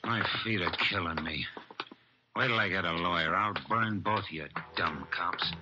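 An actor playing a cocky, whining American burglar in custody in an old radio drama, griping and threatening. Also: male voice, low voice, a little slow. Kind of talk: vintage radio crime drama dialogue. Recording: dull old radio recording, music behind.

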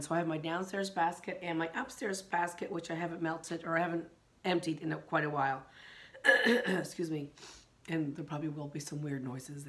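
A middle-aged woman, hoarse from a sinus infection, chatting casually about candles in her living room, rambling with many ums and restarts. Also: lowish voice, a bit bright. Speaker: vlogger. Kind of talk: casual chat reviewing candles.

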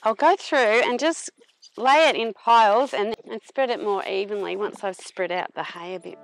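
A middle-aged woman narrating a farm vlog in a plain-spoken, unhurried explaining manner. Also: mid-range voice, gravelly, rough recording. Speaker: vlogger, permaculture farmer.

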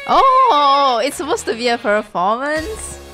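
A young woman giving excited, exclaiming reaction commentary as host, close to a desk microphone in a small room. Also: sits high, slow, soft background music. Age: young adult. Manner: animated, giggly, exclaiming. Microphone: desk microphone close to her.

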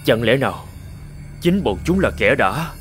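Young male hero god, male actor, animated fairy tale: earnest, brave, medium pitch, expressive. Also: a little slow, soft background music. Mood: puzzled. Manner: earnest, resolute, respectful. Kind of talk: cartoon hero acting.

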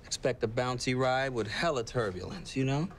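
A man's voice: medium pitch, moderate pace, a little hiss or hum, natural voice. An actor playing a crude, cocky young man who jokes lewdly with his buddy. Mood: lewd, teasing.

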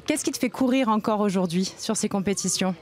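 A woman's voice: mid-range voice, a little fast, darkish tone. A young woman presenting and putting questions to guests at an outdoor event, using a handheld microphone. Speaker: interviewer, presenter. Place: outdoors at a snowy ski resort event. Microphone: handheld reporter microphone.

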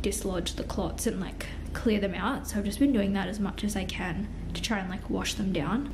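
A woman's voice: medium pitch, darkish tone, a little hiss or hum. A young woman in her twenties with a stuffy, congested nose, chatting frankly to her phone camera at home, filling her talk with "like". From Australia. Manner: chatty, frank, lots of "like" fillers. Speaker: vlogger. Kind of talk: casual vlog chat to camera. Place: home living room and kitchen, indoors. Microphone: phone camera at arm's length.

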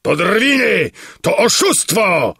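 A man voicing a cruel, imperious tyrant king from a storybook, shouting in theatrical outbursts. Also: high voice, very animated, unhurried, no echo, clean studio sound, voice pushed up, exaggerated voice. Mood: indignant. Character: raging tyrant king, shrill, gloating and cruel. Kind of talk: theatrical fairy-tale storybook reading.

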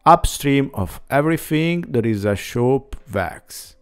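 A man's voice: medium pitch, animated, slow, clean tone. A man in his forties, host of a woodworking channel, giving a plain scripted voice-over that walks through a build in slightly non-native phrasing. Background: faint background music.

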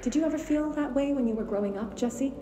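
A woman plays a calm therapist who probes with question after question in a dark, mellow voice. The room around her is echoey, with a faint hiss behind. Her tone stays level and patient. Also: sits high, fast talker, lightly acted. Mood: probing. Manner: probing, steady string of questions. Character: calm probing female therapist interviewer.

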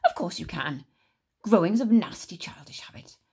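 A woman's voice: a little high, huge pitch swings, a little fast, heavy background noise, voice pushed up. A woman voicing a tiny, cruel, grumbling old grandmother who snaps orders and relishes disgusting food. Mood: scolding. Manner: snappish, bossy, relishing disgust.

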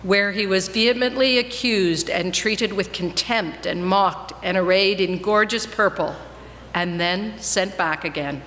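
A woman reads scripture aloud in a solemn, projected style. Her voice is bright and carries through a long church reverb, with some noise behind it. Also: medium pitch, measured pace. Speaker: reader in a church Passion reading. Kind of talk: dramatic scripture reading. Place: large church, before a congregation. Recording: echoing church hall, noticeable noise.

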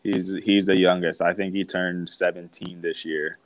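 A man in his thirties talks casually over a video call from home, relaxed and unhurried, full of ums. His voice sits very low. The sound is dry and close. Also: dry recording, poor audio. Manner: relaxed, hesitant with many ums. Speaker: pro soccer player guest. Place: home room.

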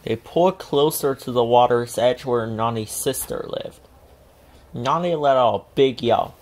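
A woman reading a children's picture book aloud as an expressive storyteller. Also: extremely low pitch, a little slow. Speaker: storybook reader.

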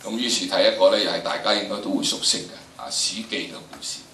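Older male teacher, Hong Kong Cantonese accent, forceful and emphatic lecture delivery, heard in an echoey hall. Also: low-pitched, fairly even intonation, distant mic, slight background noise. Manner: emphatic, drawn-out phrasing with frequent rhetorical questions. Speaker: teacher of history and drama. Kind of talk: animated lecture to students. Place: large indoor lecture theatre.

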